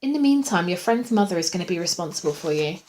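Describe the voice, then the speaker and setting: A woman's voice: fairly low pitch, animated, fast talker, a little mellow, a little hiss or hum, close to an everyday voice. Woman as a kindly, lilting hospital nurse. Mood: reassuring. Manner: soothing, lilting, sympathetic. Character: kindly, teasing, reassuring female hospital nurse. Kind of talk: audiobook character.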